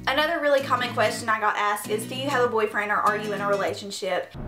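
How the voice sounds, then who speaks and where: A woman's voice: medium pitch, fast, reverberant, over music. A woman in her twenties from Tennessee with a Southern accent, chatting casually through a Q&A vlog, earnest and full of "um" and "like". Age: 20s. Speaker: beauty vlogger.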